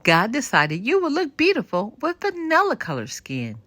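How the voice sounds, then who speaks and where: A woman voicing a kindly, wise grandmother in a children's storybook, with an emphatic, gently teaching delivery. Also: fairly high pitch, wildly swooping pitch, moderate pace, no room sound, voice pushed up, exaggerated voice. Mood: warm, reassuring. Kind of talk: children's storybook read-aloud.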